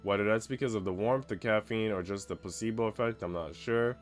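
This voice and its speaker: Male game streamer, lowish voice, informal and slangy, music playing behind him. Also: fairly quick. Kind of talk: casual chat mixed with reading visual novel narration aloud.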